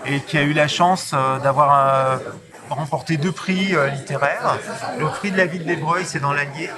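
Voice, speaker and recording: A man's voice: a little high, moderate pace. Modest man author, crowd behind. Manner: modest, with hesitant fillers. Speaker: crime novelist. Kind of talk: casual interview. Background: crowd of book fair visitors.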